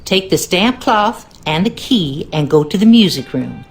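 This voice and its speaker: Wry southern maid in a spooky game, kinda low, knowing and ominous, faint music behind. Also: female voice, animated, measured pace. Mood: knowing, conspiratorial. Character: superstitious, wry Louisiana cleaning woman. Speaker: game character, cleaning lady. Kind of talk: video game character dialogue.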